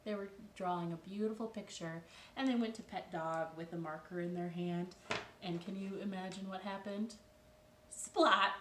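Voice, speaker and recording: A woman hosting a storytime for young children, reading aloud in an expressive, playful way with drawn-out words and questions to her listeners. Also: lowish voice. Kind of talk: animated picture-book read-aloud for children. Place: living room at home. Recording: roomy, distant mic with faint noise.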